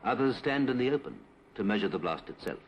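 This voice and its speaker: A British man reads a scripted 1950s public information film narration in a formal, measured, clipped manner. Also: mid-range voice, animated, dull audio, a little hiss or hum. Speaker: documentary narrator. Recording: 1950s film soundtrack with music.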